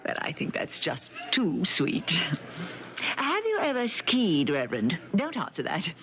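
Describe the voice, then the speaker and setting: An actress playing a brisk, sociable, wealthy wife, gushing and managing, in a radio drama. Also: female voice, on the low side, wildly swooping pitch, a bit bright, heavily acted. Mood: gushing, bossy. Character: brisk, sociable wealthy wife, scolding but kindly, bossy. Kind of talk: 1970s radio drama acting. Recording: dull old radio recording.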